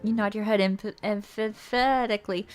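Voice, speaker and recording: A woman streamer reading a visual novel aloud as theatrical storybook narration, expressive and playful. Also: mid-range voice, moderate pace. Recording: music behind the voice.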